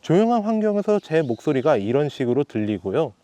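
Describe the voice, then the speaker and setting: A man's voice: a little high, sing-song, fairly quick, warm tone, dry recording. A man who hosts reviews, reciting a scripted test narration into an action camera. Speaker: tech review channel host.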